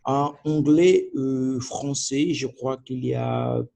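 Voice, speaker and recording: Halting man with a Portuguese accent. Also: mid-range voice, steady tone, vocal fry, warm tone. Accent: Portuguese-speaking learner of French. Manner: halting, searching for words, many restarts. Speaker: language learner. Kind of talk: video diary monologue. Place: at home by his computer.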